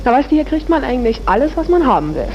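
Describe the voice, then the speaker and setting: A woman's voice: high-pitched, very animated, fast, lo-fi sound, slight background noise. A woman giving a chatty tour in a 1950s radio street reportage, on an old archive recording.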